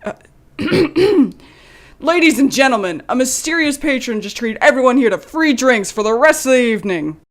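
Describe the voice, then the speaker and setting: A man's voice: very high voice, expressive, normal speed, a bit bright, a little hiss or hum. A man playing a weary bartender in an audio drama, casual and reluctant in manner. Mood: announcing. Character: weary, gossipy tavern bartender. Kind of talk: dramatic audio fiction acting.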